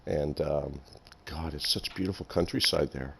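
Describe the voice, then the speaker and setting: A man hosting a casual interview, effusive and quick to giggle, with many ums. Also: extremely low pitch, fairly even intonation, gravelly, fairly bright tone, breathy edge. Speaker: podcast host.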